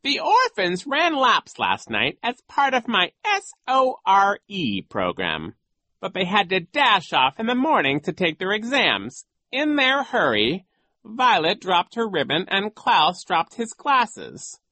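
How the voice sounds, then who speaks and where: A sly, cruel male coach from a children's storybook acted out by a woman. He speaks high and slowly, stretching words theatrically with smug false regret, his pitch sweeping up and down. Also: huge pitch swings, pushed and bright, no room sound, exaggerated voice. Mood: smug, feigned regret.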